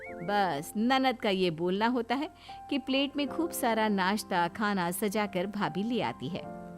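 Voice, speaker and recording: A woman's voice: mid-range voice, lively intonation, measured pace, soft background music. A woman giving scripted narration for a comic family story.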